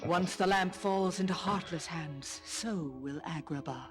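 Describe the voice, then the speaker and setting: A woman's voice: on the low side, a little slow, lightly acted. A woman playing an imperious evil sorceress queen in a cartoon, commanding and menacing. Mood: cold, commanding. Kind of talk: animated cartoon villain acting. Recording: music under the voice.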